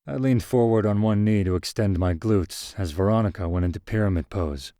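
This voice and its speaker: A man's voice: lowish voice, fairly quick, dark tone, no echo, clean studio sound. A man reading scripted first-person fiction as the narrator of a short story.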